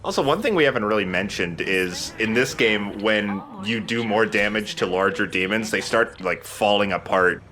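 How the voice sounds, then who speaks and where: A man's voice: lowish voice, expressive, normal speed, hardly any pauses, bright, forceful tone. An enthusiastic man giving casual let's play commentary as he plays a video game. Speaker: let's play host and player.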